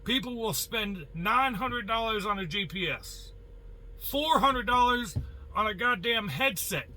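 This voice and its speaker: American trucker guy, pretty high voice, super sharp and forceful, blunt folksy rant to viewers. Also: lively intonation, unhurried, poor audio. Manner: blunt, folksy, mild swearing, rhetorical questions. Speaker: truck driver giving advice. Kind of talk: casual opinionated monologue to viewers.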